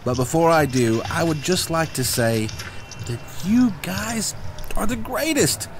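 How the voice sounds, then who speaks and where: A highish, very animated male schemer played by a man, bumbling yet grandiose. He speechifies pompously in a comedy radio drama, over clear background noise. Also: unhurried. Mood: grandiose, eager. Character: bumbling, grandiose schemer leading a gang of misfits.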